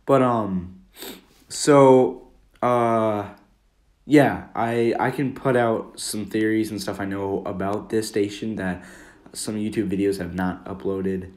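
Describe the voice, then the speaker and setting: A man's voice: on the low side, slow pace, a little mellow. Young American man, roomy echoey recording. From Minnesota, United States. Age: teenager or young adult. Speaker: amateur video maker. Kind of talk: casual commentary over a screen recording. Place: room at home.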